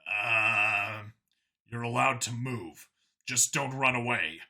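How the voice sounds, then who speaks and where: A man's voice: mid-range voice, slow, creaky, sharp tone, pitched below the performer's own voice, heavily acted. A man voicing a tough human undercover cop in a sci-fi audiobook, wry and casually threatening.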